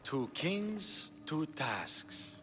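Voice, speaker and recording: An actor playing a shrewd, coolly calculating king in a video game, measured and dryly ironic. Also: a little high, sing-song, a bit bright, exaggerated voice. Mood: wry authority. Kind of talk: dramatic video game acting. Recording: long hall reverb, music underneath.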